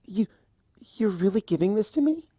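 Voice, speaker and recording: A timid young female pony from a storybook acted out by a narrator, pitched well above the reader's own voice. She is gentle and anxious, stammering hesitantly in a dry recording. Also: animated, normal speed, no room sound, natural voice. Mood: startled. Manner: hesitant, stammering, soft-spoken. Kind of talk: storybook character voicing.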